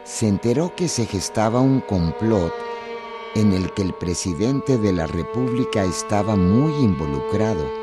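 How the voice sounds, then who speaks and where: A man's voice: fairly low pitch, expressive, unhurried, over music. A man narrating a scripted historical radio drama.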